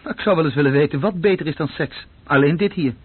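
Husky, intense obsessive man. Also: fairly high pitch, fast talker. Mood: urgent, coaxing. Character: tense, obsessive man drawn to Russian roulette. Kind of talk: radio drama acting. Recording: muffled, tinny old radio-play recording.

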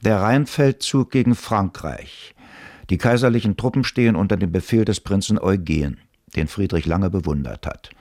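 A man with a very low voice narrates a radio drama. His delivery is scripted, measured and factual, recorded close and dry. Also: darkish tone, dry recording. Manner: measured, matter-of-fact. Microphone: dry studio close mic.

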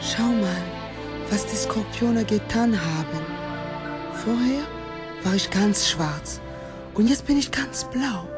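A woman's voice: medium pitch, animated, slow, pauses often, loud music behind the voice. A woman playing an enigmatic, seductive African dream figure in a radio play, teasing and mysterious. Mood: seductive, eerie.

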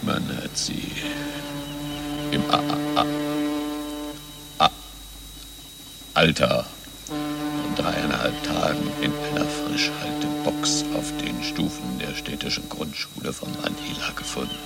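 A man playing a pompous, fatherly older commander who stammers haltingly, in a satirical radio play. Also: fairly high pitch, very animated, gravelly, over loud music, exaggerated voice. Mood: confiding. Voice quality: stammer, catching on first vowels.

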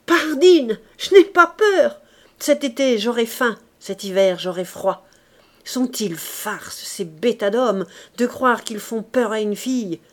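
A woman voicing a fierce, defiant young woman in rags who taunts and shouts down a gang of men. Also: a little high, animated, takes it slowly.

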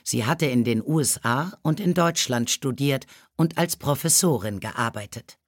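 A man reading scripted biographical stories for children as an audiobook narrator, measured and clearly articulated. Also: mid-range voice, animated, no echo, clean studio sound.

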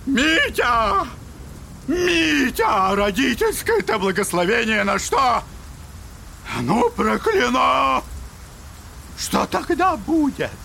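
Shrill, gravelly old buffoon. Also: male voice, big pitch swings, takes it slowly, pauses often, slight background noise, exaggerated voice. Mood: wailing outrage. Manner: theatrical, whining, mock-pious wailing and shouting. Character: ranting, clownish, spiteful old father, shameless buffoon. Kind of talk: Russian classic audio drama acting.

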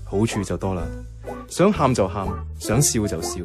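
An actor playing a mild, wry young man narrating his life as a reluctant flatmate in a Cantonese radio drama, with a Hong Kong accent and a casual, understated delivery. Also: fairly low pitch, normal speed. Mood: wry, reflective. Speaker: narrator and lead character. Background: background music.

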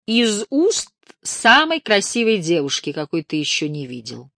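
Woman doing shrewd middle-aged agent, cool, businesslike, lowish, dry. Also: huge pitch swings, unhurried, a bit bright, no echo, exaggerated voice.

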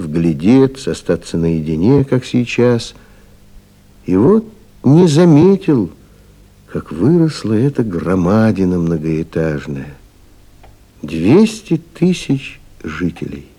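A man narrating a literary radio play in a reflective, measured manner. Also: low voice, big pitch swings, mellow.

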